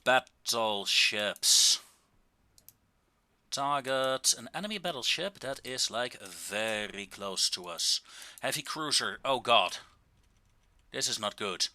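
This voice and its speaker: Guy commentating his strategy game, really bright forceful voice, slow delivery, wry and casual. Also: mid-range voice, expressive, no echo. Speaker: video game streamer and commentator.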